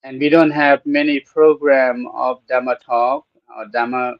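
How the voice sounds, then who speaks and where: Thai-accented male podcast host, slow and halting, dry, slightly dull sound. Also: medium pitch, completely flat delivery, dry recording. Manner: slow, halting with many "uh" pauses. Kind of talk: unscripted podcast talk.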